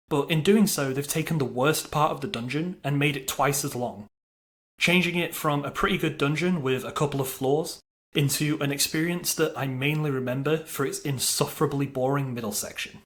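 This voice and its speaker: A man's voice: fairly high pitch, normal speed, fairly bright tone. Wry man narrating a game review. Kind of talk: scripted video review narration.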